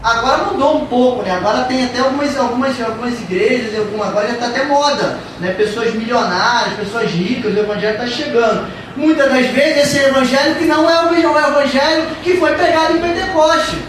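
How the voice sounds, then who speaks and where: A woman's voice: high voice, fairly quick. A woman preaching a sermon in a church, emphatic and repetitive. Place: church hall. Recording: echoey church hall from far off, noisy.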